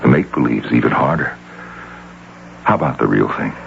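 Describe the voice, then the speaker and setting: A tender, persuasive tycoon in a radio romance. His voice is extremely deep and gravelly with lively inflection, on a muffled old recording. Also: fairly quick, full character voice. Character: tender, persuasive wealthy industrialist suitor. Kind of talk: old-time radio drama acting. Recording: muffled, lightly noisy radio broadcast tape.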